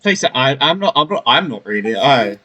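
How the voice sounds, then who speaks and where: A young man giving casual, halting gameplay commentary, reacting informally as he plays. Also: medium pitch, sing-song, sharp tone. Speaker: gamer playing through a story quest. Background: game music.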